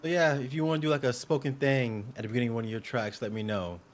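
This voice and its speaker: A young man streaming a game, chatting casually with viewers in a smooth, upbeat, joking way. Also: medium pitch, fairly even intonation, fast talker, a little hiss or hum. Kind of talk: casual livestream chat.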